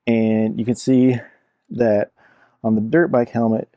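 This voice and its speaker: A man's voice: mid-range voice, flat delivery, a little slow, warm tone, no room sound. A man giving a casual hands-on product review in an informal, explanatory way, repeating himself as he goes. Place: indoor room at a cluttered computer desk.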